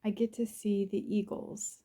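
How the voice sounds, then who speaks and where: A woman narrates a guided visualization in a soothing, unhurried way, in short phrases with pauses between them. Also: medium pitch, level tone, background noise. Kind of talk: guided visualization, first-person present-tense narration.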